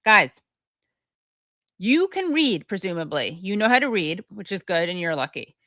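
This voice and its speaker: A woman hosting a live stream, her voice bright and forceful, recorded close and dry with no room sound at all. She talks briskly and a bit scattered, turning from her guest to her producer to her viewers. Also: mid-range voice, very animated, no echo. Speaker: show host.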